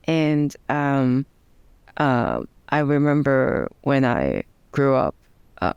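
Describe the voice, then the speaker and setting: A woman with a Hong Kong accent talks in an interview, unhurried and full of pauses and fillers. Her voice is smooth yet gravelly. It is recorded close and dry. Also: rumbling low, sing-song, darkish tone, no echo, highs cut off. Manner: hesitant, many ums and restarts. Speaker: guest, democracy advocate. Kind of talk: interview answers.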